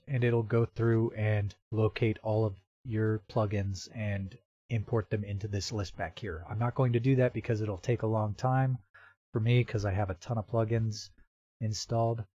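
A man presenting a casual software tutorial in a halting, step-by-step way, as if thinking aloud. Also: fairly low pitch, fairly even intonation, muffled recording. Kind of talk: casual screen-recorded tutorial narration. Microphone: computer microphone with real-time noise removal.

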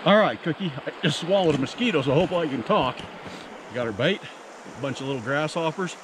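A man's voice: on the high side, expressive, quick pace, background noise. A middle-aged American man chatting casually and folksily to camera, as an outdoor fishing host. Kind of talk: casual explaining and chat to camera. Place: outdoors by a creek and meadow.

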